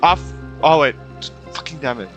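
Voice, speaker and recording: A young male streamer with a bright, forceful voice reacts casually to a game. He rambles excitedly with big swings in pitch and the odd swear word, over faint music. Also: normal speed, lo-fi sound. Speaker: gaming streamer.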